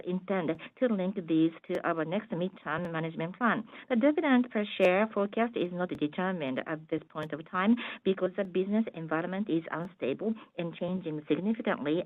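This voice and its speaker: A woman formally reading out a financial presentation in a halting, measured business manner, as a conference call interpreter. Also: on the low side, dry recording. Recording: tinny, dry phone-like line.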